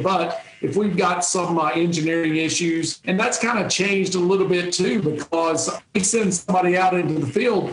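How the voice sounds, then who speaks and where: Middle-aged guy on a zoom call, kinda high voice, echoey room, easygoing salesman talk. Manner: easygoing, folksy, frequent restarts. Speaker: territory manager, interview guest. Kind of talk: conversational interview answers. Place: home office. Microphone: video call.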